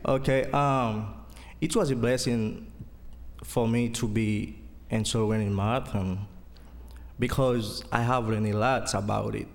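A man from Haiti with a Haitian accent giving a church testimony as a student, in an earnest, halting way as he searches for words. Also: lowish voice, fairly even intonation. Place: church hall. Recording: long hall reverb.

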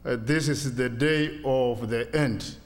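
A man in his sixties from Kenya with a Kenyan accent, a Swahili speaker giving a church testimony in halting, simple English. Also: medium pitch, big-room sound. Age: 60s.

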